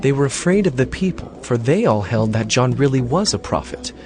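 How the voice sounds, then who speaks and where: A man narrates scripture from a script. His mid-pitched voice rises and falls expressively as he tells the story. Quiet music plays behind him. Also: expressive, brisk.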